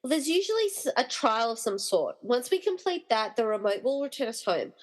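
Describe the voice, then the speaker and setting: Lively higher-pitched actress as a wry heroine. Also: female voice, brisk. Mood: wry, matter-of-fact. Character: excitable, slightly exasperated woman rescuer inside a movie. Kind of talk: amateur comedy radio play dialogue.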